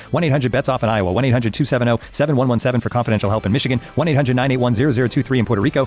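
A man reading a scripted sponsor ad with a rapid-fire legal disclaimer. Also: medium pitch. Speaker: ad reader.

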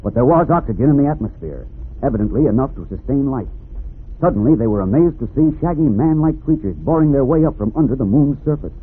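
A man's voice: mid-range voice, lively intonation, fast talker, slightly rough. An American man narrating a 1940s radio serial in a dramatic, declamatory announcer's manner. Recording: vintage radio, narrow band, faint noise.

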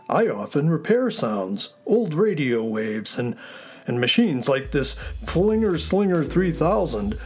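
A man voicing a friendly, gentle space horse in a children's audio story, courteous and expressive in his delivery. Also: on the high side. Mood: cheerful explaining.

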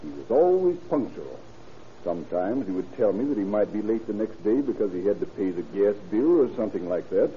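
Male worried, earnest engineer in 1930s radio crime play; lively intonation, dull, lightly noisy vintage recording. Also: lowish voice, fairly quick. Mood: earnest concern. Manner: earnest, explanatory. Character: concerned male radio engineer reporting a missing employee.

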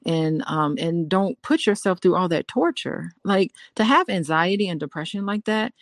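A woman's voice: lowish voice, expressive, normal speed, dry recording, studio quality. A woman co-hosting a podcast, talking casually, teasing and often laughing. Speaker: podcast co-host. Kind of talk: casual conversation.

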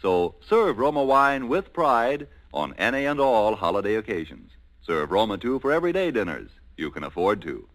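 A man's voice: on the low side, very animated, normal speed. A man reading a sponsor message as a polished, emphatic 1940s radio announcer. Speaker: commercial announcer.

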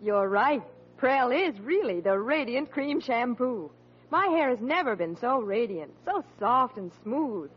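High, husky woman giving testimonial. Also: animated, a little slow. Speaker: testimonial reader in advert. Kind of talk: 1940s radio advertisement testimonial. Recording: muffled old radio recording.

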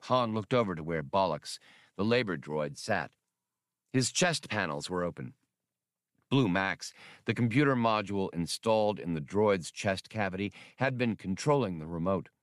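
Low, dry-sounding male narrator. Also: animated, normal speed, dry recording. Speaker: audiobook narrator. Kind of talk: scripted fiction narration.

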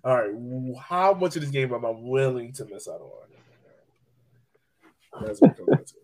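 A man's voice: medium pitch, sing-song, moderate pace, darkish tone. A man hosting a podcast, chatting casually about sports. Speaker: podcast host.

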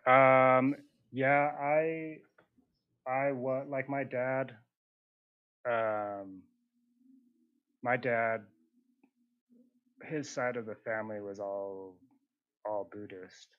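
A man's voice: mid-range voice, fairly even intonation, drawn-out words, long pauses, no echo, phone-quality sound. A young man from northern Utah, talking casually as an interview guest, hesitant, with many fillers and restarts. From northern Utah, USA.

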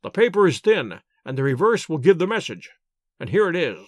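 A man reading an audiobook, voicing a calm male detective who explains his reasoning in a measured, precise, lecturing way. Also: a little high, no room sound, studio quality. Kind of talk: audiobook character voice.

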